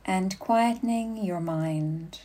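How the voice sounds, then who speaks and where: A woman leading a guided meditation as a yoga nidra guide, giving soothing, unhurried instructions with long pauses. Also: medium pitch, dark tone.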